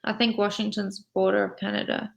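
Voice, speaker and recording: A woman's voice: mid-range voice, flat delivery, fairly quick, gravelly, a little hiss or hum. A woman with a South African accent, chatting in a friendly way as an English tutor over a video call and asking lots of follow-up questions. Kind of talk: casual conversation practice.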